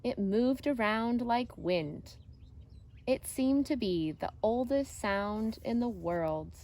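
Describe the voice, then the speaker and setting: A woman teacher reading a picture book aloud to children in a deliberate, expressive way. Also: fairly high pitch, a little hiss or hum. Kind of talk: storybook read-aloud narration for children. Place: outdoors.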